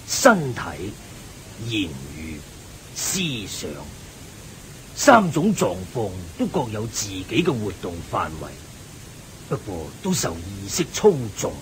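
An actor playing a wise, shrewd old lay sage with a measured teaching manner in a radio drama. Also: male voice, fairly bright tone, full character voice, low-quality recording. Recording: faint rumbling hiss.

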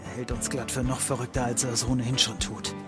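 Sardonic male private eye played by a man, medium pitch, world-weary, audio comedy, music underneath. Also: little pitch movement, quick pace, natural voice. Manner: dry, sardonic. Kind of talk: occult comedy audio drama acting.

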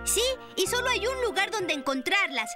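A woman voicing an earnest, optimistic young boy long-neck dinosaur in a cartoon dub, gentle and explanatory. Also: extremely high pitch, very animated, moderate pace, music in the background. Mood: thoughtful, helpful. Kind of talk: Spanish cartoon dub acting.

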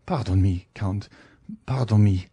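A man's voice: on the low side, unhurried, warm tone, breathy edge, heavily acted. Guy doing flustered banker man for an audiobook, nervous n hesitant, trying to hold it together. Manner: stammering, hesitant. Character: nervous, flustered male banker forcing composure. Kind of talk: audiobook character voice.

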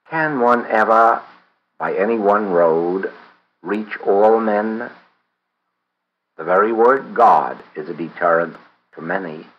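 Deep-voiced man reading aloud, measured. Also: highs cut off, a little hiss or hum. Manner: measured, deliberate phrasing with frequent pauses. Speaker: reader of a book. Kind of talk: read-aloud audiobook of a spiritual text.

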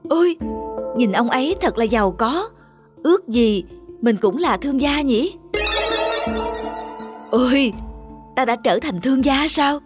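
A woman's voice: high-pitched, lively intonation, measured pace, pauses often. A woman voicing a discontented male stonecutter in a cartoon fairy tale, exclamatory and plaintive. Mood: wishful, delighted. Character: weary, discontented male stonecutter granted wishes, easily delighted and dismayed. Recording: music behind the voice.

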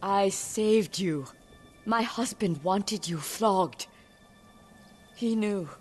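A woman's voice: medium pitch, very slow, darkish tone, faint music behind. Grave older samurai noblewoman. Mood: anguished, accusing. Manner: grave, commanding. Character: stern grieving older samurai noblewoman seeking vengeance. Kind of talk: dramatic video game acting.

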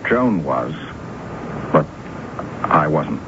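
A very deep-voiced lovelorn man. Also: very animated, drawn-out words, exaggerated voice. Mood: earnest, insistent. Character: lovelorn middle-aged man confessing long-held love. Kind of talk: radio drama acting. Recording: dull, noisy old radio recording.

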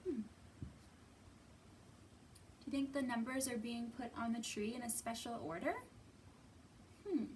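A woman in her thirties presenting a children's storytime as a librarian, talking simply to small children with drawn-out words and thoughtful hums. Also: fairly high pitch, fairly even intonation, darkish tone, a little hiss or hum. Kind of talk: children's storytime teaching, read-aloud. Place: indoors in a library.

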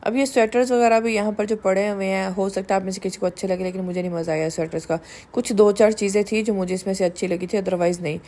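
A woman's voice: mid-range voice, fairly even intonation, quick pace, dark tone. A woman, giving candid, chatty voice-over narration for her shopping vlog and words into her speech. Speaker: vlogger.

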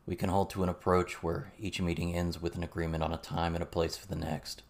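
A narrator, a man, plays a serious, guarded man in an audiobook. He speaks low and measured, explaining things calmly without warmth or fuss. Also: gravelly. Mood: terse, calm. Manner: measured, matter-of-fact.

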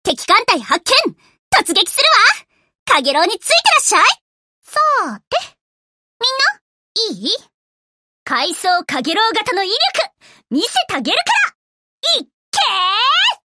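A woman's voice: very high-pitched, wildly swooping pitch, unhurried, pauses often, sharp tone, dry recording, studio quality, full character voice. A woman voicing a spirited, confident teenage destroyer girl in an anime game, perky, assertive and a little bossy. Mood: determined, bold. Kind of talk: Japanese anime game voice acting.

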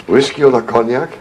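An actor playing a cautious, evasive company official with formal, measured phrasing in a 1970s radio crime drama. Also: male voice, mid-range voice. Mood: obliging. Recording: old radio recording with faint noise.

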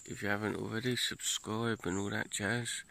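A British man chatting casually to camera as an outdoor camping vlogger, with a low-key, laid-back manner. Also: flat delivery, normal speed, gravelly, sharp tone. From United Kingdom. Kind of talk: casual vlog chat. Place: outdoors in woodland. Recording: noisy handheld outdoor recording.

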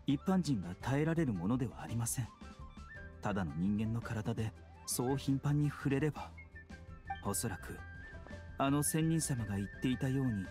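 A calm young male doctor, played by a voice actor, speaks with measured, formal courtesy and grave concern. It is video game dialogue at a middle pitch, with music playing behind him. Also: natural voice. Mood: grave, reflective. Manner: polite, measured, formal speech. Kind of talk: Japanese video game voice acting. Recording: studio voice over game music. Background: background music.

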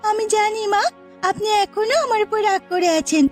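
A woman voicing a gentle young new bride in a cartoon story, speaking softly and pleadingly as a dutiful daughter-in-law. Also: extremely high pitch, fairly even intonation, normal speed, faint music behind. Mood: hurt, pleading. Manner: soft, pleading, deferential. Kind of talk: cartoon story character acting.

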